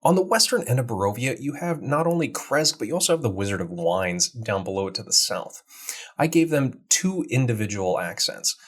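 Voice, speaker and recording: A man's voice: mid-range voice, big pitch swings, fairly quick, fairly bright tone. An American man with an American accent, a game master hosting an explanatory talk on tabletop roleplaying. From United States. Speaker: game master and channel host.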